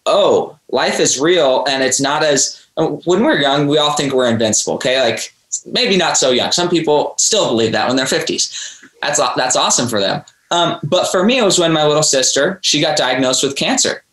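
A man's voice: a little high, lively intonation, normal speed, a bit bright, roomy sound, rough recording. A man hosting a podcast, talking earnestly and preachily, addressing his listeners directly. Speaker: podcast host. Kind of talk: motivational interview talk.

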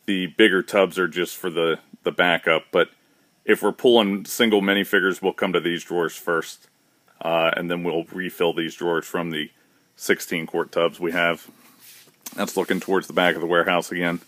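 A man's voice: low-pitched, moderate pace, no room sound. A man giving a casual, matter-of-fact tour of his shop's warehouse while filming on a handheld phone. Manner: relaxed, matter-of-fact, with fillers and restarts. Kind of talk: casual walkthrough narration. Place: large indoor warehouse with shelving. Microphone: handheld phone camera, speaker behind it.

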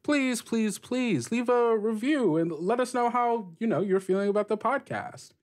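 A man's voice: extremely high pitch, expressive, moderate pace. A man hosting a tabletop game podcast as game master, narrating and chatting casually. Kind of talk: casual actual-play game narration and chat.

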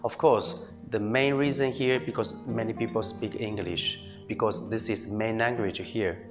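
A man from China giving casual interview answers as a language student, pausing with fillers. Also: on the low side, fairly even intonation, in a large hall, over music.

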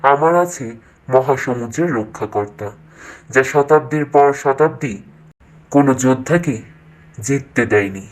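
A performer voicing a menacing, boastful devil king of the ocean in a slow, proud, threatening manner for a fairytale cartoon. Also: medium pitch, lively intonation, pitched below the performer's own voice, lightly acted.

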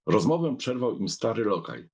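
A man's voice: lowish voice, sing-song, moderate pace, creaky, a little mellow, husky. A man narrating a literary audiobook from a script, as a storyteller.

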